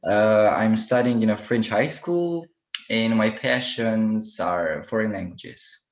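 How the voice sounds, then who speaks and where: A man's voice: lowish voice, slow. Hesitant young man from Romania, echoey. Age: late teens. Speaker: student debater. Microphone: video call. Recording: echoey, muffled call audio.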